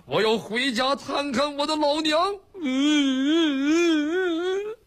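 Male storyteller as hot-headed outlaw brute, high-pitched and husky, blunt, blustering, plaintive. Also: very animated, a little slow, exaggerated voice. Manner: loud, blunt, wailing and pleading. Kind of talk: traditional Chinese storytelling performance.

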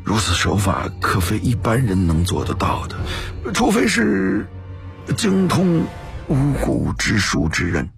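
A man's voice: lowish voice, very animated, a little slow, creaky, faint music behind, full character voice. A man playing a cautious, deferential imperial physician in an audio story, speaking formally and carefully. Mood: hesitant, careful. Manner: hesitant, formal.

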